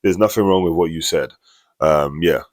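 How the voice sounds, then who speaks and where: Deep-voiced man hosting a dating show. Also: normal speed, no echo, studio quality. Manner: animated, repeats phrases for emphasis. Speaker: host. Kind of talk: casual commentary.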